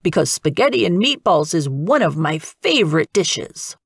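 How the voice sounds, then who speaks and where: A woman's voice: low-pitched, sing-song, moderate pace, creaky, husky, no echo, studio quality, heavily acted. Woman voicing a hearty father badger. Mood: pleased. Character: genial father badger, pleased and steady. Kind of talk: storybook character voice.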